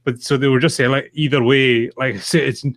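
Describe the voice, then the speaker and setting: A man's voice: medium pitch, fairly even intonation, brisk, a bit bright, no echo. A man chatting casually and conversationally as a podcast co-host.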